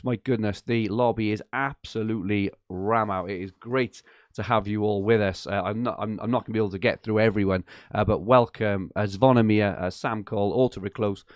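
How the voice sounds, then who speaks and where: A man hosting a live fantasy football podcast from his attic, chatty and enthusiastic with lots of fillers, his voice a little croaky from a late night. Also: fairly low pitch, no room sound, clean studio sound. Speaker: podcast host. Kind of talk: live-stream podcast chat. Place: home attic.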